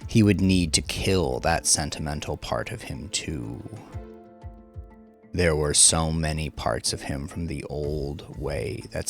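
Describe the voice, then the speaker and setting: A man with a very low voice narrates a dark crime story over music. His phrasing is measured and deliberate, with dramatic, drawn-out line endings.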